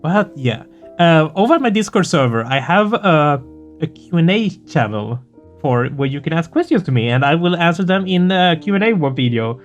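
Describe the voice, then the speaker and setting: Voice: medium pitch, very animated, moderate pace, muffled recording, faint music behind. A gaming video host chatting casually and effusively, earnest and prone to swearing. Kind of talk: casual excited chat after a game playthrough.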